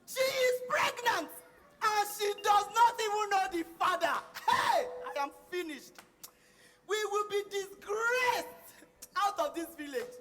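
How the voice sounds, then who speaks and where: An actress with a Nigerian accent playing a distraught, despairing village mother on stage, crying and lamenting loudly. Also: extremely high pitch, lively intonation, a little slow, exaggerated voice. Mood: wailing despair. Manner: wailing, lamenting with repeated cries. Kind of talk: loud melodramatic stage-play acting.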